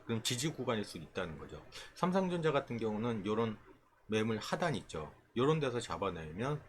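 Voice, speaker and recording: A man giving an informal screen tutorial as a trading instructor, explaining conversationally and pausing mid-phrase. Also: fairly low pitch, slight background noise.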